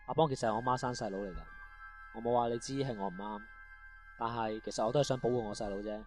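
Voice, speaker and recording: A male actor as a firm, protective prison guard in a radio drama. He is earnest and speaks extremely fast in an average male pitch. Music is clearly heard beneath him. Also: fairly even intonation, darkish tone, natural voice. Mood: earnest, apologetic. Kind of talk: amateur radio drama acting.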